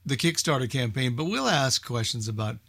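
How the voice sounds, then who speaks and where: A man's voice: medium pitch, lively intonation, fairly quick, vocal fry, fairly bright tone, no room sound, studio quality. A man in casual group conversation, explaining things patiently and spelling them out.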